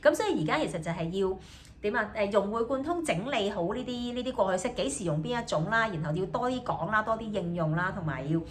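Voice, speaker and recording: A woman's voice: mid-range voice, animated, fast, a little hiss or hum. Hesitant young woman with a Hong Kong accent. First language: Cantonese. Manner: hesitant, many fillers, says each thought in English then again in Cantonese. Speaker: student. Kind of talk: casual bilingual video diary.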